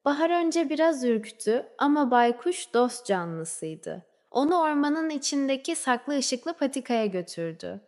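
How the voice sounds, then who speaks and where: A woman narrating a scripted children's fairy tale in a measured storybook way, letting phrases trail off softly. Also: sits high, big pitch swings. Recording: long reverberant hall-like echo.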